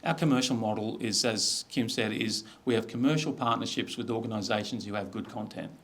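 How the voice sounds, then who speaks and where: A man's voice: on the low side, fairly even intonation, brisk, a bit bright. A middle-aged Australian man giving an informal business presentation in a conversational, explanatory way. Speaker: media executive presenting.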